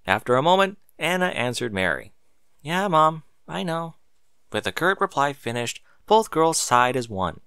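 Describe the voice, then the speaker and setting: A male narrator reads a story with lively, swinging intonation. His voice is bright and forward, recorded dry and close, and his storytelling is expressive. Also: mid-range voice, moderate pace, few pauses, clear, resonant tone, dry recording. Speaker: audiobook narrator.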